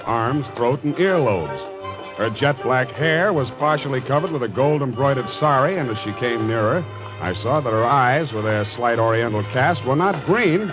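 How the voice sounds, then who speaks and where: A man's voice: mid-range voice, lightly acted. An actor playing a wry, hard-boiled male private detective in a laconic 1940s radio style. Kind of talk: 1940s radio detective acting, narration and dialogue. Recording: muffled old radio recording, music behind.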